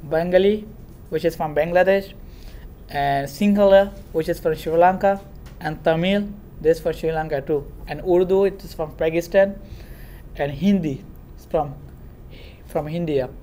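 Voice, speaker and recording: A man's voice: on the high side, a little slow, warm tone, slight background noise. A young man from Myanmar, a native Rohingya speaker, giving an earnest talk as a guest speaker in a classroom, filmed by a far camera. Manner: earnest, storytelling with hand gestures.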